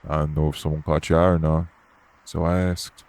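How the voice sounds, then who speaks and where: A man playing a gruff, blunt, unbothered club worker with streetwise slangy talk in an audio roleplay. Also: extremely low pitch, little pitch movement, a little fast, darkish tone. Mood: casual, teasing. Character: gruff, blunt, unbothered tough guy working at a nightclub. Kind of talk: audio roleplay acting addressed to the listener.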